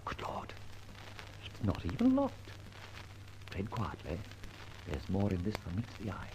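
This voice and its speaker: An actor playing a jaunty, confident gentleman detective in an old-time radio serial, with theatrical, old-fashioned phrasing. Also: low voice, wildly swooping pitch, unhurried, full character voice. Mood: intrigued, cautious. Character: suave, jaunty gentleman adventurer-detective, male, whimsical and confident. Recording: noisy old radio recording.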